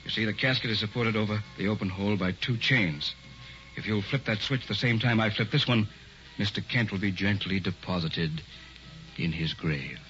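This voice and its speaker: An actor playing a smooth, sinister male carnival hypnotist and showman in a 1940s radio drama, with oily, theatrical barker patter. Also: on the low side, normal speed, fairly bright tone, close to an everyday voice. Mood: commanding. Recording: dull old radio recording with music.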